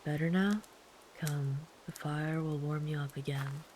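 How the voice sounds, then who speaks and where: Woman voicing a gentle big camper, protective, soft-spoken, sometimes whispered, ASMR comfort roleplay, even flat delivery. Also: low voice, unhurried, slight background noise, rough recording. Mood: soothing. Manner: soft, soothing, calm, at times whispered. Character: big, gentle, protective traveler camping in the woods.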